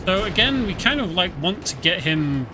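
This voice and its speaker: Guy streaming a game, chatty, kinda high voice, game music going behind him. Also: sing-song, normal speed, low-quality recording. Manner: chatty, explains the story as he plays. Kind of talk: casual gameplay commentary.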